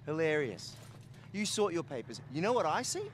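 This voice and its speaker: An actor playing a brash, sarcastic, foul-mouthed young male soldier in a war game, excitable and mocking. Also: a little high, normal speed, slight background noise. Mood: sardonic.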